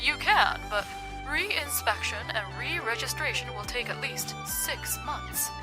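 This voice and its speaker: A woman's voice: high-pitched, expressive, a little slow, loud music behind the voice. A woman playing a cool, uncompromising young businesswoman in a manga dub, speaking formally and firmly. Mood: businesslike. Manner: formal, firm.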